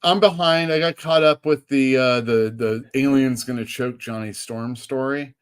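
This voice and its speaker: A man hosting a casual chat as a comic shop owner, talking in a relaxed way that often trails off mid-sentence. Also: medium pitch, normal speed, clean tone. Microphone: streaming setup microphone.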